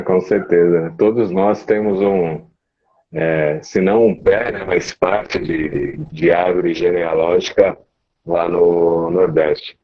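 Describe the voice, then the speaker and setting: A man hosting a live stream interview, laid-back and informal. His voice is very deep and husky. The audio sounds dull and lo-fi. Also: slow. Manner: relaxed, informal. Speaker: host.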